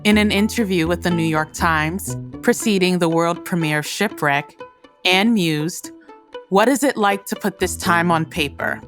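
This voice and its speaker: A woman with a fairly low voice hosts and narrates from a script, phrasing each thought with deliberate care. Soft music plays behind her. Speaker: podcast host and narrator. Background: music bed.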